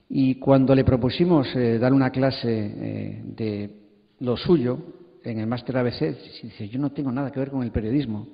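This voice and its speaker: A man's voice: mid-range voice, vocal fry. Reverberant middle-aged Spanish man moderating. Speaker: panel moderator. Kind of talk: hesitant spoken moderating. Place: large hall at a congress. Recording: muffled, long hall reverb.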